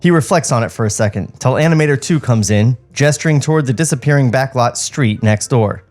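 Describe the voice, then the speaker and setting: A man's voice: medium pitch, a little fast, darkish tone, soft background music. A man co-hosting a podcast, reading a script aloud with irreverent, joking banter. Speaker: podcast co-host.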